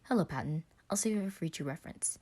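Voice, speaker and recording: A woman's voice: low voice, expressive, fast talker, darkish tone. A woman voicing a polite man in a story, with formal, precise, rather robotic phrasing.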